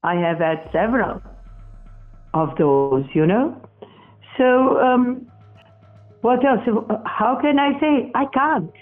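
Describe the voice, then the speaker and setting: A speaker answers questions slowly, stumbling and restarting as they search for words. The audio is thin and phone-like. Faint music sits underneath. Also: mid-range voice. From Portugal. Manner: halting, many restarts and self-corrections. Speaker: experimenter in spirit communication. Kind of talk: interview answers.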